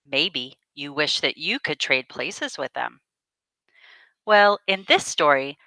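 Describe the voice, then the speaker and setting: A woman reading a picture book aloud to children as a storyteller, with deliberate, dramatic phrasing. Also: on the low side, pushed and bright, no echo.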